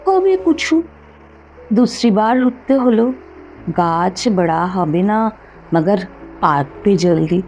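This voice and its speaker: A woman storyteller acts out an earnest rural driver from a short story. The speech is a halting, homely mixed dialect, with lively rises and falls and a slow, unhurried gait. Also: medium pitch, highs cut off, faint music behind. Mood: earnest, matter-of-fact. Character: rural male driver, earnest, speaking mixed Bengali-Hindi.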